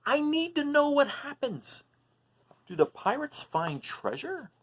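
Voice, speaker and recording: A woman voicing an eager young male dragon who loves books, pleading and bursting with excitement. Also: high-pitched, slow, pitched above the performer's own voice, heavily acted.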